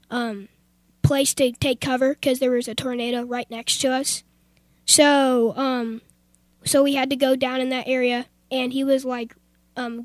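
Teenage girl, high voice, casual storytelling, loose filler-heavy phrasing, dry recording. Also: no room sound. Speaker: youth radio participant.

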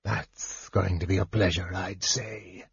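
Man doing a snarling reptilian soldier, low, husky, growling, lo-fi. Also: steady tone, measured pace, no echo. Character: menacing male draconian guard, reptilian soldier.

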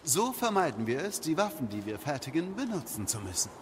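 An actor playing a fussy, squeamish dwarf craftsman in a video game audio drama, excitable and halting in his delivery. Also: a little high. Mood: explaining, boastful. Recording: clear background noise.

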